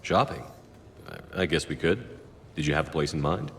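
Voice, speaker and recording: A very deep-voiced, steady commander. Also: male voice, moderate pace, long reverb tail, slight background noise, heavily acted. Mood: calm, wry. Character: male military commander, steady, wry, supportive human soldier. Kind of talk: video game dialogue acting.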